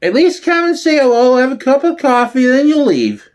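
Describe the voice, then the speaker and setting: A man's voice: extremely high pitch, very animated, normal speed, pitched above the performer's own voice. A man voicing an older mother in a one-man sitcom reading, fussy, nagging and exasperated. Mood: coaxing.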